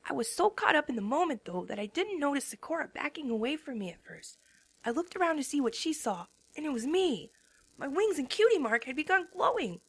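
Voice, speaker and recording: A woman's voice: high voice, big pitch swings, a little fast, no room sound. A woman voicing a tired young female pegasus who narrates her own story in the first person with a drowsy, worn-out delivery. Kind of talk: first-person narration in a fan dramatic reading.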